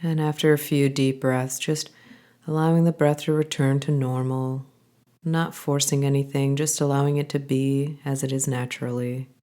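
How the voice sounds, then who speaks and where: A woman guiding a body scan meditation, giving calm, gentle instructions in an unhurried way with pauses. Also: extremely low pitch. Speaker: meditation guide.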